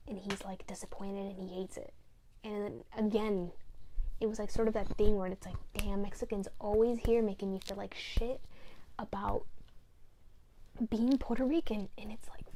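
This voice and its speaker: A woman's voice: medium pitch, warm tone. A young woman co-hosting a casual podcast from a bedroom, talking over her feelings with many fillers and sometimes dropping to a near whisper. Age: young adult. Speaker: podcast co-host. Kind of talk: candid personal chat. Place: bedroom, seated on a bed.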